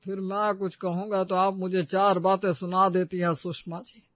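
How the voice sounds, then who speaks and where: A man acts out an older Indian grandfather in a cartoon family tale. His voice is high for a man, stubborn and full of grumbles. The recording is very dry and a bit muffled. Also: level tone, moderate pace, dry recording, close to an everyday voice. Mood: indignant, boastful. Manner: complaining, stubborn.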